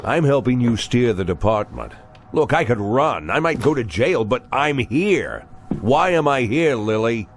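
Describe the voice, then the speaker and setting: An actor playing a stubborn older fugitive ex-cop in a video game, with a blunt, insistent way of questioning. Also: male voice, medium pitch, sing-song, a little slow. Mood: pressing. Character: bearded old man, fugitive ex-cop pressing the sheriff, stubborn.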